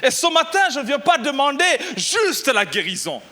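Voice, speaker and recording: A middle-aged pastor from Burundi preaching a lively sermon, exclaiming and shouting at the peaks. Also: male voice, extremely high pitch, normal speed. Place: church.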